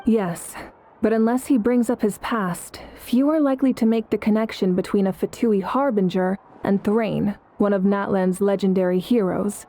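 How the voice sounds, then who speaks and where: An actress playing a calm, resolute female leader in a video game, composed and authoritative. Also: medium pitch, moderate pace, natural voice. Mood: calm, considering. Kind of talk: English video game character acting.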